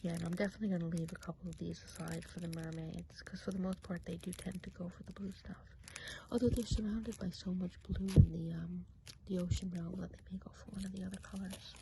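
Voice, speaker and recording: Gentle witch of the woods, played by a woman, lowish soft voice, whispery ASMR delivery, confiding and unhurried, over clearly audible background noise. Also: flat delivery. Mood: confiding, amused. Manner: hushed, unhurried, chatty, often trailing off. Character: kindly Christmas witch of the woods, gentle and chatty. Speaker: ASMR role-play performer. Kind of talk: soft-spoken and whispered ASMR role play.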